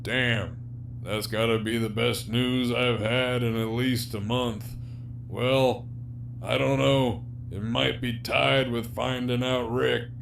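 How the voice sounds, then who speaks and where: A man's voice: medium pitch, steady tone, takes it slowly, vocal fry, a bit bright. A man acting in an audio drama as a jaded, sardonic, despairing prisoner villain with a profane, wry, teasing drawl. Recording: faint background hum and noise.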